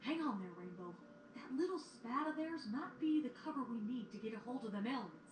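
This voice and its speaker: A woman's voice: fairly high pitch, lively intonation, fairly quick, close to an everyday voice. A woman playing a cautious, scheming, folksy pony heroine in an audio drama. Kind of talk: fan audio drama acting. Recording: echoey, distant, noisy playback.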